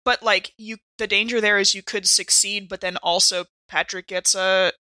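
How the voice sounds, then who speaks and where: Lady at a game table, bright voice, dry recording, just chatting casually. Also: medium pitch, fairly even intonation, moderate pace, no echo.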